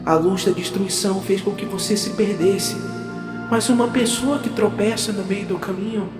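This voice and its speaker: A man's voice: sits high, steady tone, moderate pace, reverberant, music in the background, pitched above the performer's own voice, natural voice. A man playing a noble, devoted superhero in a declamatory, earnest audio drama style. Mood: tender, reassuring.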